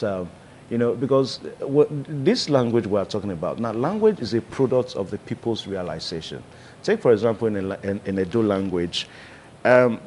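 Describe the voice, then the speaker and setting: A man with a Nigerian accent hosting a studio discussion, asking long, measured questions with many fillers. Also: fairly low pitch, a little mellow, a little hiss or hum. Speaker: talk show host.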